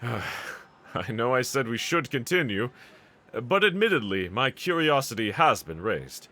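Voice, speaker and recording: A man's voice: mid-range voice, big pitch swings, a little slow, bright, forceful tone, exaggerated voice. A man playing a bold, earnest, reassuring demon slayer swordsman in an anime audio roleplay, speaking with formal, courteous diction. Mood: curious, puzzled.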